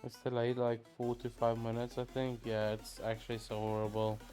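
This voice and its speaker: A man's voice: medium pitch, monotone, normal speed, warm tone. A man giving casual gameplay commentary as a game streamer. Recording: game music behind.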